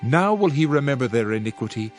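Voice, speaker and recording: A man's voice: mid-range voice, very animated, quick pace, lightly acted. A man playing a solemn Old Testament prophet in a dramatized Bible reading, declaiming judgment in a grave, formal manner. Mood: solemn, stern. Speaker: scripture narrator. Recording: music under the voice. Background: music.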